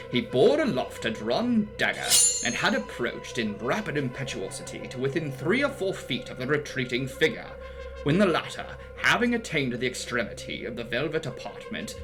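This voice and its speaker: A man's voice: mid-range voice, low-quality recording. A man narrating a horror story as a dramatic audiobook reading, with a theatrical, solemn and emphatic delivery. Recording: music behind the voice. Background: music.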